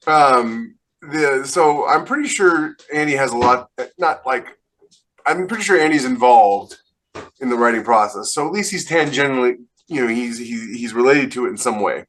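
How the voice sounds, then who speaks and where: A man with a medium-pitched voice chats casually as a podcast co-host. His manner is wry and joking, built for easy banter. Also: expressive, normal speed, a bit bright, a little hiss or hum, low-quality recording.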